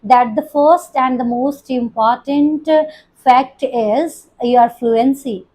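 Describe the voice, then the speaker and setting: A young woman teacher with an Indian English accent carrying a Punjabi touch talks students through exam tips. Her voice sits high. She pauses on frequent fillers and breaks off mid-word to restart. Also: dark tone. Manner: hesitant, many fillers and restarts. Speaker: IELTS coaching teacher. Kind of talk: spoken lesson to students.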